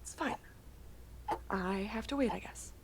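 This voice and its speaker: A woman playing an anxious, devoted grown daughter in a naturalistic drama, casual, earnest and halting. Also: medium pitch, gravelly, noisy background. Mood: scared, apologetic.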